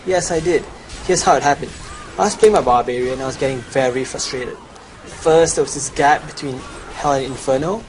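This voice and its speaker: Man, Chinese accent, young adult, boastful sales pitch, desk mic, faint background noise. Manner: boastful, salesy, walks through gear stats. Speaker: gamer promoting a gold guide. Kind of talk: scripted promotional voice-over with gameplay.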